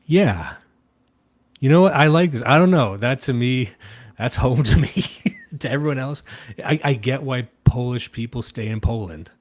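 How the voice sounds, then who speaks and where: A man's voice: mid-range voice, expressive, moderate pace. A man co-hosting a casual livestream chat, sardonic and rambling, swearing casually. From Canada. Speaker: podcast co-host.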